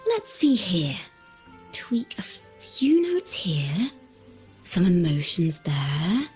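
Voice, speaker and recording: An actress playing a mysterious, elegant woman in a video game, composed and softly probing in a measured way. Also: fairly low pitch, very animated, exaggerated voice. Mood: musing, playful. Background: game music.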